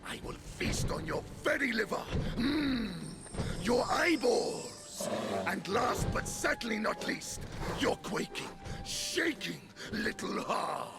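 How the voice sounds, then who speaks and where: A man's voice: extremely high pitch, very animated, slow, creaky, loud music behind the voice, exaggerated voice. A man voicing a fallen war god turned chicken in a video game, with a melodramatic, theatrical delivery. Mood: menacing, boastful. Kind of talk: video game cutscene acting.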